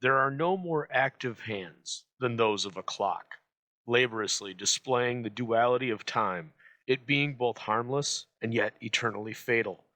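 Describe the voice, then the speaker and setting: A man hosting with formal, measured, scripted dramatic narration. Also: mid-range voice, pushed and bright, dry recording. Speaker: podcast host.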